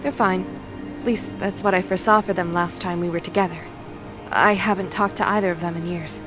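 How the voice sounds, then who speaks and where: A woman's voice: lowish voice, steady tone, fairly quick, music in the background, lightly acted. A woman playing a stoic young seer and warrior goddess, wry, guarded and nonchalant, in an audio drama.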